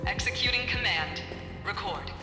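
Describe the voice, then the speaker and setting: An actress playing a solemn oracle in a video game, declaiming like an incantation. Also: female voice, mid-range voice, expressive, moderate pace, lightly acted. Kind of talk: dramatic video game voice acting. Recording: long echoing reverb under loud music.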